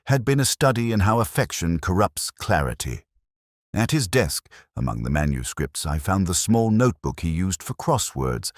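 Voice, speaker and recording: A man narrates a literary mystery in an extremely low-pitched voice. His reading is formal and reflective, with measured phrasing that rises and falls in a lively range. The sound is dry and close, free of room echo. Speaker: narrator of a detective mystery story. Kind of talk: literary scripted narration, first-person detective story.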